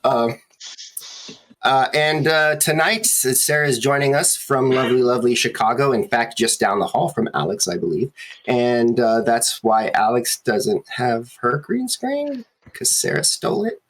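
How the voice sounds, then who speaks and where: A man hosting and running a tabletop game stream, introducing players in an easygoing way with many pauses. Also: mid-range voice, lively intonation, a bit bright, slight background noise. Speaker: game master and host.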